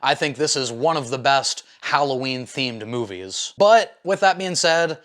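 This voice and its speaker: A man's voice: mid-range voice, expressive, unhurried, a bit bright. A man hosting a casual film review, talking in an informal, enthusiastic, slangy and opinionated way. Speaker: movie reviewer, video host.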